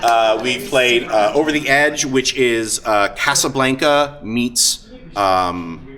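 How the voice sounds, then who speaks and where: A man hosting a live show, talking casually and off the cuff, with enthusiasm, halting asides and frequent hesitations. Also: mid-range voice, pushed and bright. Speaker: host of a live-streamed tabletop roleplaying show. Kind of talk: casual off-the-cuff talk to an audience. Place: live event room at a gaming convention. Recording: live convention room with crowd noise.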